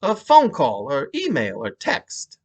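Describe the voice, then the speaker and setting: A man doing a New York detective, pitched up. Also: huge pitch swings, slow pace, a little mellow, husky, heavily acted. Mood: questioning. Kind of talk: audiobook-style dramatic reading.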